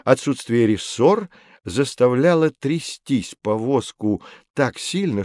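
A knowledgeable guide in an educational audio play. His medium-pitched voice moves expressively as he explains in measured phrases. The studio sound is close and dry. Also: darkish tone, no echo. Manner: didactic, measured. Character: knowledgeable, friendly teacher guiding children through history. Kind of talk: scripted educational drama, explanatory.

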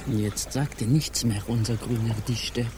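An actor playing a simple, teasing ship's cook in a radio drama, lively and naive. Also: male voice, mid-range voice, normal speed, background noise, natural voice. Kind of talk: radio drama character acting.

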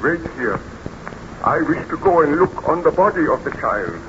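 A man's voice: on the high side, big pitch swings, normal speed. A man playing a tormented aristocratic baron in a 1930s radio serial, with formal, grave melodrama. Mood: grave concern. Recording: dull, noisy vintage radio recording.

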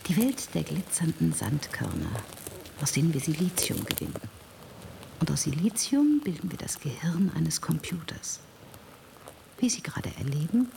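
An animated, ambitious scientist over noisy ambience. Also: female voice, lowish voice, gravelly, exaggerated voice. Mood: hushed, coaxing. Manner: formal, theatrical, hushed and coaxing at times. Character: ambitious female computer scientist presenting her virtual reality invention. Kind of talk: German radio drama acting.

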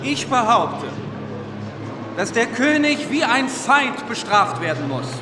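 An actor playing a young, uncompromising revolutionary orator declaiming in a radio drama. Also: male voice, very high-pitched, very animated, slow, full character voice. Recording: roomy, distant, loud crowd noise.

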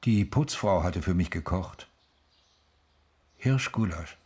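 A man's voice: low voice, expressive, slow pace, a little mellow, breathy edge, full character voice. An actor playing a plain, routine-bound old shopkeeper recounting his day in a radio drama. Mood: matter-of-fact. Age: older. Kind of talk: interview-like radio drama narration.

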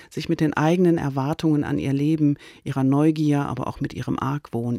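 A male radio host gives a scripted introduction to a drama. His voice sits a little high for a man, yet it is mellow and gravelly, recorded close and dry. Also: no echo.

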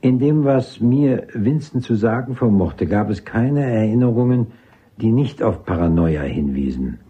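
Husky, animated army psychiatrist. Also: male voice, fairly low pitch, measured pace, dull audio. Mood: clinical, assured. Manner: didactic, formal, clipped military address. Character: brisk, authoritative male army psychiatrist with major's rank. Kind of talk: German radio drama acting.

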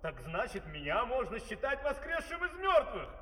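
An actor playing an exuberant professor in a comic fairy-tale opera, exclamatory and theatrical, on an old record. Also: male voice, extremely high pitch, big pitch swings, moderate pace, fairly bright tone, heavily acted. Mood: grateful. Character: exuberant male professor back from supposed death. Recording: old record, distant echoey hall.

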